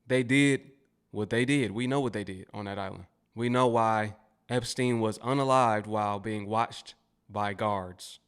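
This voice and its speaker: A man's voice: fairly low pitch, unhurried, smooth. An American man giving casual, opinionated commentary as a host. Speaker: commentary channel host reacting to clips.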